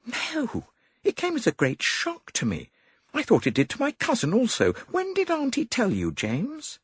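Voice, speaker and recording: A man's voice: very high-pitched, wildly swooping pitch, normal speed, slightly rough, no echo, pitched above the performer's own voice, heavily acted. A man voicing an anxious, indignant young woman in an audiobook. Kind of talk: audiobook character reading.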